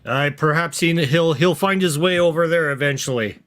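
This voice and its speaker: A man voicing an unhurried, dismissive captain of inquisitors in a tabletop game, speaking in an offhand, hesitant way. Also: on the high side, fairly bright tone, natural voice.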